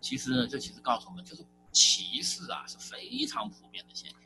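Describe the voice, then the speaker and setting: A man preaching a sermon in a church, in a lively, conversational style with frequent fillers. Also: medium pitch, fairly quick, creaky, faint music behind, poor audio.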